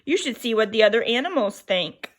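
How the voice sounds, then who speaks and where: A patient mother sheep who seems to know everything, voiced by a woman reading aloud. She answers her lambs calmly and plainly, with a slightly raised storybook lilt. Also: fairly high pitch, brisk, close to an everyday voice.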